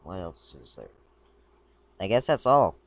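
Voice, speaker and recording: A man casually commenting while browsing a game page, talking in a rambling, halting way and stumbling as he reads aloud, a little sick. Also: low voice, very animated, dull audio. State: a bit sick. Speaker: gaming video creator. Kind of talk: casual screen-recording commentary.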